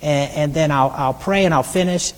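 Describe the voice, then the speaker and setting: A middle-aged male missionary preacher with a soft, high voice that is often taken for a woman's, telling stories in a conversational sermon with frequent restarts. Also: moderate pace. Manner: conversational storytelling with repeated restarts. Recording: long hall reverb.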